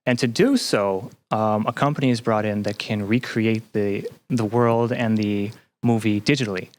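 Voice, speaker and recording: A man with a slightly low voice talks as a guest on a casual podcast. He explains things thoughtfully, pausing and feeling for his words in a halting way. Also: fairly even intonation. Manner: hesitant, halting, thoughtful phrasing. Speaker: podcast guest, game developer and researcher.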